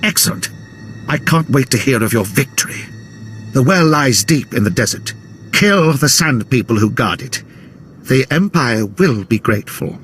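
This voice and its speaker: An actor playing a pompous, fawning, fussy military officer in a video game, with florid delivery. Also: male voice, mid-range voice, expressive, normal speed, natural voice. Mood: gushing, self-satisfied. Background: loud music.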